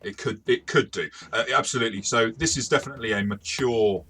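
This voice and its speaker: A man co-hosting a casual review discussion, explaining things in a measured way. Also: medium pitch, sharp tone, breathy edge. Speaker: co-host and game master.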